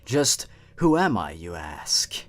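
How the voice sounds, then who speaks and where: A man voicing an ancient, all-powerful male time being who speaks in a slow, grave and menacing way in a horror story. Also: deep voice, big pitch swings, voice pushed down, heavily acted.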